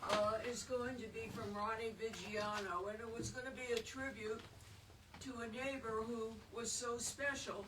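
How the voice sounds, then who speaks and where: Lady host doing intros, slow, far off mic, hissy room. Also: a little high, steady tone. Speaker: event host. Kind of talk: introducing speakers. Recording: distant echoey room with noise.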